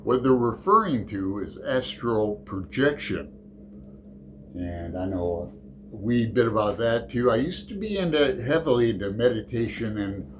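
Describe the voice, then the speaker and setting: Slow, rambling middle-aged man. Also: fairly low pitch, slight background noise. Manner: rambling, digressive, halting with fillers and self-corrections. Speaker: book channel host. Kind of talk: casual unscripted book vlog.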